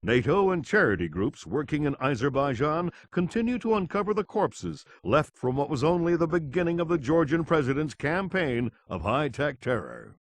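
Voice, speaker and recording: An actor playing an earnest television news anchor, formally delivering a scripted crisis report in a game. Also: male voice, a little high, no room sound. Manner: formal, grave.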